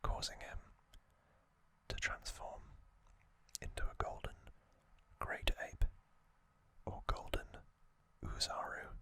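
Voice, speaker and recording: A man whispering softly in a breathy, deliberate ASMR narration, speaking in short phrases with pauses. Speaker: host of an ASMR channel. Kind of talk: whispered ASMR trivia narration and reading aloud.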